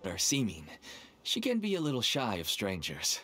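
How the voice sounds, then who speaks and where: A man's voice: on the low side, huge pitch swings, normal speed. A man voicing a nervous, wheedling middle-aged father and dealer in a game, with a persuasive manner. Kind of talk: game voice acting. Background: game music.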